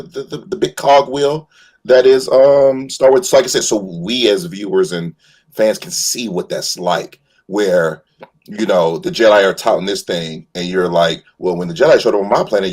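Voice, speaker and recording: A man with a fairly low, husky voice talks on a fan panel. He is emphatic and colloquial, circling around his points in a loose, passionate conversational style. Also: a little mellow. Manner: emphatic, rambling, colloquial phrasing. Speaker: fan panelist on a live show. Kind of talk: casual passionate fan discussion.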